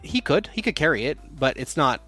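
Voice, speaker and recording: Relaxed male game master. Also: on the high side, fairly quick, no room sound, faint music behind. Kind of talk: running a tabletop game.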